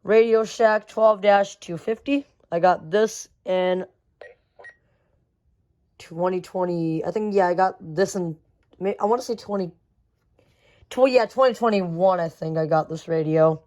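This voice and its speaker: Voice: medium pitch, measured pace, long pauses, a little mellow. A young person giving a casual, unscripted show-and-tell as a hobby vlogger, with slangy, repetitive phrasing and some mild swearing. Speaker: vlogger showing a weather radio collection.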